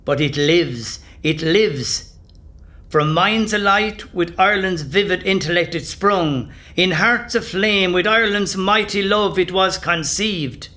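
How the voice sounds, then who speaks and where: An actor as the earnest narrator of a historical audio drama, reading from a script. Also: male voice, high-pitched, slow, bright, forceful tone, full character voice. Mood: impassioned.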